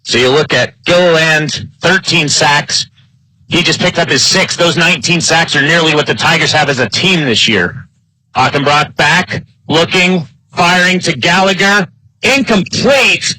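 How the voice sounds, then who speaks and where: A man's voice: on the high side, measured pace, sharp tone, no room sound, low-quality recording. A man with an American accent doing live play-by-play commentary of an American football game. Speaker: play-by-play sports announcer.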